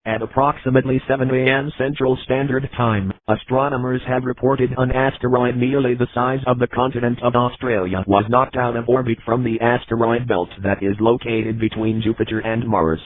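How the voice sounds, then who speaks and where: A man's voice: mid-range voice, flat delivery, normal speed, few pauses, no echo, phone-quality sound. A man reading a scripted emergency broadcast announcement as an alert announcer. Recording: very noisy broadcast audio.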